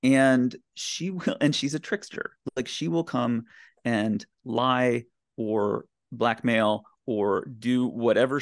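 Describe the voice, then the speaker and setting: A man speaking as a podcast guest in casual, enthusiastic discussion, with emphatic and halting phrasing. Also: mid-range voice, no echo, studio quality. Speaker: podcast guest, blogger and writer.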